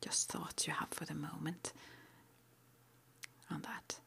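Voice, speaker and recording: A woman whispering an ASMR roleplay interview, playing a witch school interviewer with gentle, reassuring, hesitant phrasing. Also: deep voice, flat delivery, takes it slowly, vocal fry.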